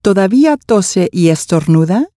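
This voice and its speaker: A woman reading scripted language-course dialogue, enunciating each phrase clearly. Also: mid-range voice, animated, a little slow, warm tone, dry recording, clean studio sound.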